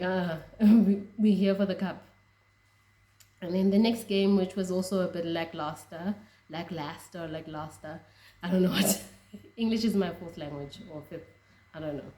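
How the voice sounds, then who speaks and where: A young South African woman whose first language is Tswana, chatting excitedly about rugby with frequent laughs and restarts. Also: on the low side, moderate pace, roomy sound. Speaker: rugby fan vlogger. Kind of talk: casual reaction chat.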